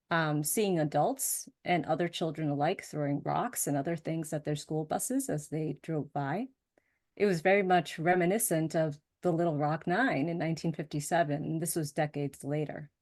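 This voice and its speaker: A middle-aged woman, a Spanish speaker, giving a prepared talk in a measured storytelling way. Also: fairly low pitch. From United States. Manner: measured storytelling, some fillers.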